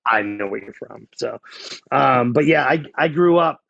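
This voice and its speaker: Casting: male, 40s, Boston accent, medium-pitched with gravelly fry, frank and conversational, close dry recording. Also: animated, unhurried, fairly bright tone, dry recording, muffled recording. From New England, small-town New Hampshire near the Massachusetts border. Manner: candid, blunt. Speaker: guest, life coach. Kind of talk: casual conversational storytelling.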